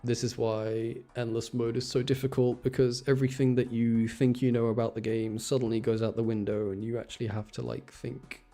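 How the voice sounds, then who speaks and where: A man's voice: medium pitch, little pitch movement, a little fast, few pauses, mellow, faint music behind. A man giving casual, chatty live game commentary, thinking aloud and correcting himself with mild exasperation. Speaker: game streamer.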